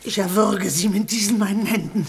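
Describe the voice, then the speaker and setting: A woman's voice: mid-range voice, fairly even intonation, fairly quick, slight background noise, close to an everyday voice. A woman playing a blunt, scornful middle-aged wife in a 1960s German radio comedy. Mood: outraged. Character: sharp-tongued, outraged middle-aged bourgeois wife.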